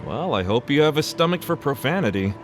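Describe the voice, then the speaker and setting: A man's voice: medium pitch, sing-song, brisk, slight background noise, lightly acted. Male townsman for RPG, actor-played: medium register, wry, gossipy, informal. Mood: wry, weary. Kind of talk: conversational video game voice acting. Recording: voice over game music.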